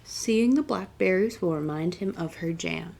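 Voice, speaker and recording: A woman reading aloud as a children's storytime host, deliberate and expressive in her delivery. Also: fairly low pitch. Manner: clear, deliberate picture-book reading with expressive emphasis. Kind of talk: read-aloud storytime narration.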